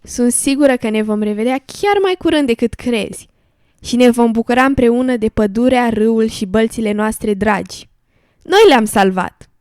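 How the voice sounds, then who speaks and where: A small, affectionate female pond creature, acted by a woman in an educational radio drama. The cheerful voice is rather high and recorded dry. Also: darkish tone, clear, resonant tone, dry recording, lightly acted. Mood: tender. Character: affectionate, cheerful female little amphibian, a loyal friend.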